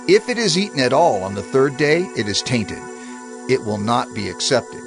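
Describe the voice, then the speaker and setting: Male narrator with lively delivery. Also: medium pitch, moderate pace. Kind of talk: dramatized scripture narration. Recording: music bed under the voice.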